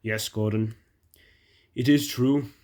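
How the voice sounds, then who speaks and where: A man's voice: lowish voice, steady tone, takes it slowly, darkish tone. A man voicing a kindly railway boss in a children's storybook, consoling and matter-of-fact. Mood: sympathetic. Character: fat controller, kindly male railway boss.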